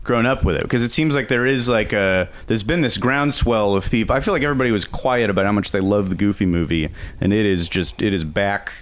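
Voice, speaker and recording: A man's voice: fairly low pitch, brisk, hardly any pauses. A man co-hosting a casual podcast, rambling and self-deprecating, often breaking into laughter. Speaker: podcast co-host. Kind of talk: casual chat.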